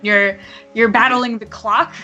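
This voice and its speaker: A woman's voice: a little high, steady tone, slow pace, highs cut off, over music. A woman co-hosting an online live painting contest, chatting in an upbeat way with many fillers. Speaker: co-host and commentator.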